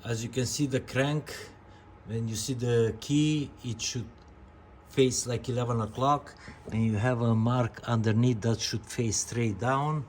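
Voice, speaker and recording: A man with a medium-pitched voice talks at an unhurried, slow pace, like a vlogger showing viewers around a job. He explains things step by step in a casual way and addresses the audience directly. Clear ambient and vehicle noise sits behind him. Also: fairly even intonation. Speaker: vlog host. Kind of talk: casual hands-on vlog talk to viewers.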